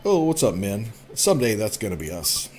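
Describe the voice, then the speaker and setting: A man's voice: on the low side, very animated, fairly quick, a little mellow, faint music behind. A man voicing a brash, defiant young tomboy girl in a visual novel game, blunt and emphatic.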